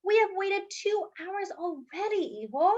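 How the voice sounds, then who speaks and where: A woman voicing a brisk, caring grandmother in a children's storybook. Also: very high voice, expressive, pitched above the performer's own voice. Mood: firm. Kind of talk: storybook character voice. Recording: dull lo-fi recording.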